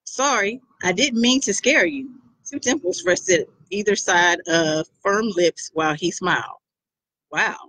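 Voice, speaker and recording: A woman author reading a first-person chapter of her own novel aloud, expressive and animated. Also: lowish voice, no room sound, poor audio.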